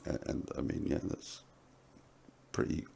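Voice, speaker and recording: A man discussing and commenting in a casual, halting way. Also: a little mellow. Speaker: panelist on a commentary show.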